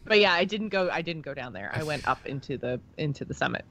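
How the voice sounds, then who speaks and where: A woman's voice: sits low, lively intonation, quick pace, a bit bright, a little hiss or hum. A woman co-hosting a podcast, talking through game lore in a chatty, informal way. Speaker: podcast co-host. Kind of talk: casual game lore discussion and recap.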